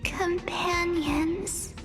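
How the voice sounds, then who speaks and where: A woman voicing a tiny, cute, childlike game sprite that speaks earnestly, haltingly, word by word. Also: very high voice, fairly even intonation, music in the background, full character voice. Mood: halting, pleading.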